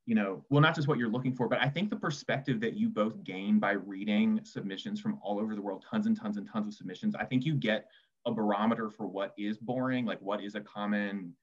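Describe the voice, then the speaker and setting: Slightly dull, lo-fi audio carries a man with a fairly low voice. He moderates a discussion informally, building open questions in an exploratory, conversational way. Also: brisk, husky. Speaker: panel moderator.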